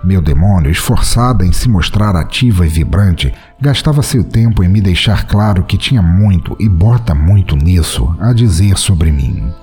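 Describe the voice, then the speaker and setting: Male podcast narrator, low and mellow, brooding, expressive reading. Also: moderate pace, hardly any pauses, soft background music. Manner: brooding, bitter, intimate. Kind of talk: dramatic first-person story narration.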